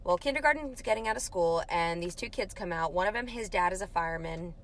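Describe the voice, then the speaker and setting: Fast, low-voiced energetic woman. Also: slight background noise. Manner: energetic, loud storytelling. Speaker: school staff member. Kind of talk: comedic story time.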